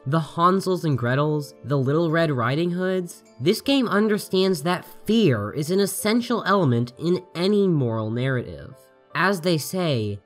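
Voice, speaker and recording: An articulate reviewer narrates a scripted essay in a mid-range, androgynous voice. The intonation moves around in a lively way. Soft music plays underneath the narration. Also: medium pitch. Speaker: video game reviewer. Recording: voice over background music.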